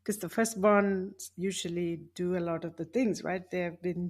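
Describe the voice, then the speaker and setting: A woman's voice: fairly low pitch, steady tone, a little fast. A woman from India hosting a podcast, talking casually and thinking aloud, with frequent hesitations as she frames her questions. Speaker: podcast host. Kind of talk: casual interview conversation.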